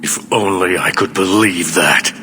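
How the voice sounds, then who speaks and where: A middle-aged mage leader for a fantasy game, weary and embattled. His lowish voice is formal and solemn, weighed with despair. It rings with long reverb over loud background rumble. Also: a little slow. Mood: despairing, bitter. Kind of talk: dramatic video game voice acting.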